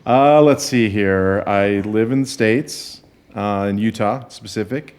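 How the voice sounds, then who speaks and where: Low-voiced man in his fifties. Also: darkish tone, clear, resonant tone. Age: 50s. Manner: unhurried, thinks aloud as he explains. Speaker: podcast guest. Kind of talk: podcast interview.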